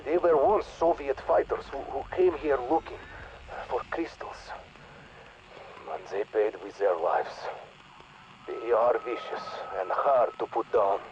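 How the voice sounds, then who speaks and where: An actor with a Russian accent playing a lone male radio operator in a video game, speaking in a halting, earnest way over a radio transmission. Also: medium pitch, a little mellow. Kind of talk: video game audio log. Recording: muffled radio transmission with static.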